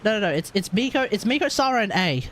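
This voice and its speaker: An Australian streamer talks casually through live gameplay in a mid-pitched voice. The delivery rambles and jokes along, easily amused, with some noise in the room behind it. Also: big pitch swings, quick pace, fairly bright tone. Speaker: game streamer.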